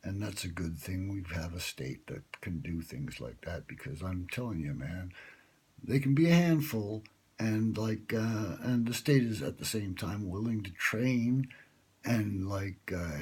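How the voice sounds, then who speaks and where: A man's voice: sits low, animated, a little slow, husky. A man talking in a rambling, wry and self-mocking way, with many fillers, recorded close on a phone. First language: English. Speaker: vlogger pitching a radio show. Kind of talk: rambling late-night monologue. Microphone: smartphone held close.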